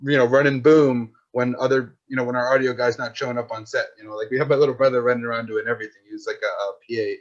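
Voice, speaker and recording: Young guy, 20s, loose slangy chatter peppered with filler, mid-pitched, slightly muffled lo-fi mic. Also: steady tone, fast, hardly any pauses. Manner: rambling, slangy, full of "like" and "dude". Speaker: podcast guest, filmmaker. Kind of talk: casual chat. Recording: dull lo-fi sound.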